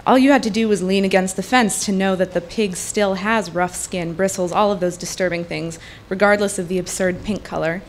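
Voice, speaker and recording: A woman reading literary fiction aloud at a live event, in deliberate, fluent narration with pauses. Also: mid-range voice, fairly even intonation. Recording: live event with background noise.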